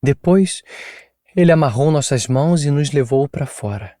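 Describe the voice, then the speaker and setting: A man's voice: medium pitch, animated, moderate pace, warm tone, no echo, studio quality, natural voice. A man voicing an exhausted, traumatized woman as she recounts her abduction in a tense, pleading, weary way.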